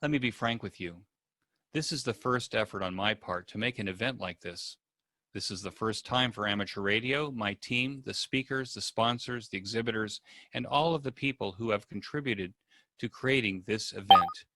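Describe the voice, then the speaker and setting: A man giving a prepared welcome address as an event host, with formal, deliberate phrasing. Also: on the low side, bright, forceful tone, no echo.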